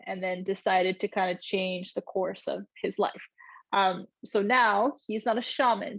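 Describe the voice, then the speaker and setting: A woman's voice: mid-range voice, creaky, no echo, muffled recording. A young woman hosting a podcast, talking in an upbeat, chatty way with frequent fillers. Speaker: podcast host. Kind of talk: casual podcast interview.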